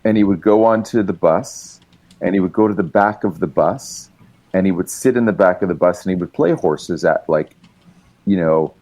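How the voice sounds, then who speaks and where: A man's voice: low voice, moderate pace, darkish tone. A male musician and writer, a guest telling long, rambling stories in casual chat, with many fillers and restarts. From Canada.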